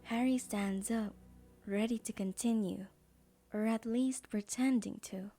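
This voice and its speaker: A woman narrator reads a scripted audio drama at an unhurried pace. Her tone is mellow, and faint music plays behind her storytelling. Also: a little high, steady tone.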